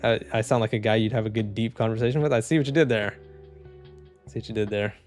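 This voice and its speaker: Man, low voice, relaxed rambling livestream chat, home desk mic, faint background music. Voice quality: low, even, unhurried voice. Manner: laid-back, rambling, many fillers and restarts. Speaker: streamer. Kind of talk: casual livestream chat reading comments.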